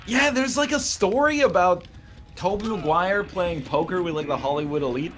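A man's voice: high-pitched, normal speed, a bit bright, slight background noise. A man chatting casually with friends while playing a video game. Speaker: player in a group of gamers. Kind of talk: casual gaming banter.

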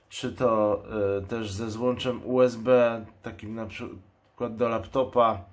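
Slow-paced man presenting a product. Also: lowish voice. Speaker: product reviewer and channel host. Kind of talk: unboxing commentary. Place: indoors at a table. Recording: clear background noise, crinkling packaging. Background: noise, plastic packaging crinkles and clicks.

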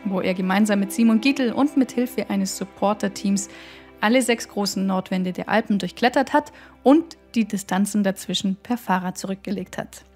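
A woman hosting a podcast, introducing her guest and asking direct questions in a lively way. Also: mid-range voice. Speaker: podcast host.

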